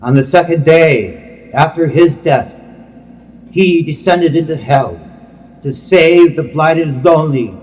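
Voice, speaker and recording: A man giving a solemn, reverent religious poetry reading in a measured, declamatory manner. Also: a little high, a little mellow. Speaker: poet reading own work. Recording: long hall-like reverb.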